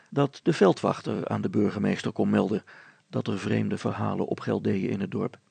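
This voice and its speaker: A man narrating a story as a storyteller, with expressive, scripted delivery. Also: medium pitch, vocal fry, slightly rough, no room sound.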